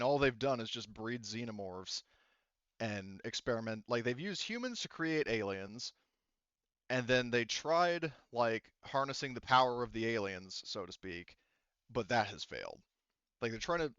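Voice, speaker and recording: A man improvising tabletop game narration as game master, often halting and rephrasing mid-sentence. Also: mid-range voice, fairly bright tone, clear, resonant tone, dry recording, highs cut off.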